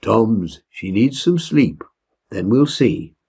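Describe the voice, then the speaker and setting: Older man as a calm, caring father bear. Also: fairly low pitch, takes it slowly, husky, heavily acted. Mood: concerned.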